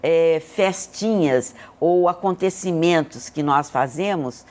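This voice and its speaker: This is an older woman with a Brazilian accent and a voice a little on the low side. She speaks as a guest in an interview, sharing memories and reflections. Her delivery is earnest and emotive. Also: takes it slowly, a little mellow. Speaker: interview guest, hospital leader. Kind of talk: reflective personal storytelling in an interview.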